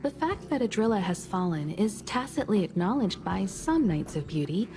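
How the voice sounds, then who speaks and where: A woman's voice: medium pitch, sing-song, normal speed, over music. A woman playing a wise, composed mentor in a video game, speaking in a reflective, formal way. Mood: composed, thoughtful. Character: wise, composed woman explaining lore to young travelers.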